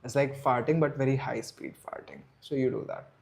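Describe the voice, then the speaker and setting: Male streamer, 30s, mid-pitched, loose rambling chat with viewers. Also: steady tone, brisk, gravelly, over loud music. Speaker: livestream host. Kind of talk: casual live stream chat.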